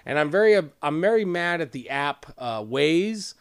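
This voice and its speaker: A man with a fairly high voice hosts a loose, casual conversation. He teases and jokes crudely, often swelling into loud, emphatic bursts. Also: lively intonation, normal speed, clean tone. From Los Angeles area resident. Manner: joking, teasing, crude banter. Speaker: podcast host. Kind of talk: casual chat.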